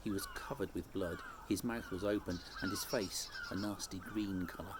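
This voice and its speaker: A man reading a children's story aloud like a teacher, in an expressive storytelling style. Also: sits low, normal speed, background noise.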